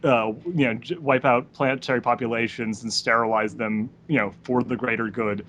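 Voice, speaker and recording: A man in a casual podcast conversation, with an average-pitched voice. He explains his views firmly and swears freely. The recording has clear background noise. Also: fairly even intonation, moderate pace, fairly bright tone. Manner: profane, opinionated, explanatory. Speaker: podcast co-host. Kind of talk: casual chat.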